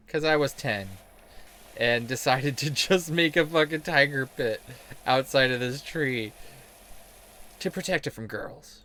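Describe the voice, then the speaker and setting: A man's voice: on the high side, a bit bright. A man in a casual, rambling game commentary, sweary, self-deprecating and sarcastic. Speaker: game streamer telling stories while playing.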